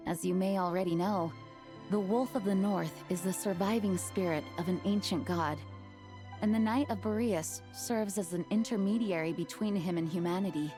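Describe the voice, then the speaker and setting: A woman voicing a composed, dutiful young knight leader in a video game, speaking formally, earnestly and in a measured way. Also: lowish voice, mellow, faint music behind, close to an everyday voice. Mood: measured, explanatory. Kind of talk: video game voice acting, scripted dialogue.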